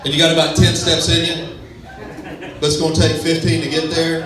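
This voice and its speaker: A man's voice: fairly high pitch, flat delivery, brisk. A man from Texas with a West Texas accent, doing a self-deprecating, laid-back stand-up comedy set on a club stage. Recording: echoey club sound from far off with a crowd.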